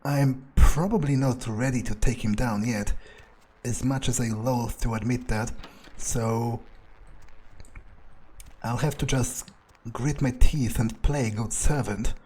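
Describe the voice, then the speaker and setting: A man role-playing a proud, power-hungry, foul-mouthed vampire in a video game playthrough, thinking aloud in a halting way. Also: medium pitch, fairly even intonation, dark tone, husky, low-quality recording. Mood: brooding, scheming. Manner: musing aloud, halting, self-satisfied. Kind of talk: in-character game playthrough monologue.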